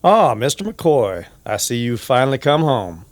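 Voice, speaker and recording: A man playing a hesitant, worried small-town barber in an old-time Western radio drama, talking in a halting, confiding way. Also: mid-range voice, sing-song, voice pushed up. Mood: welcoming.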